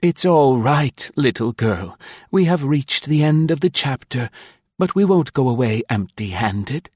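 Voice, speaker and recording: A man's voice: medium pitch, animated, measured pace, darkish tone, husky, no room sound, clean studio sound, voice pushed up. A man playing an ardent, defensive male spy who pleads with his lover in a dogged, melodramatic way. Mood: reassuring.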